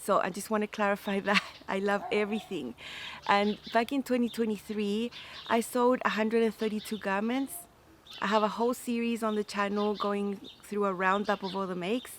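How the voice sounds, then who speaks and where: A woman in her mid-forties with a medium-pitched voice talks casually to her viewers as a hobby vlogger. She sounds chatty and enthusiastic, and some room ambience and noise can be heard behind her. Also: fairly even intonation, moderate pace. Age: 40s. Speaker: sewing vlogger and host. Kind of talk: casual chat to camera.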